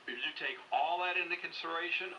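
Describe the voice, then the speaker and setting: A man talking over the radio as an amateur operator, giving casual technical remarks in a halting, thinking-aloud way. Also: on the high side, bright, forceful tone. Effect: heard over radio transmission. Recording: distant radio signal with clear noise.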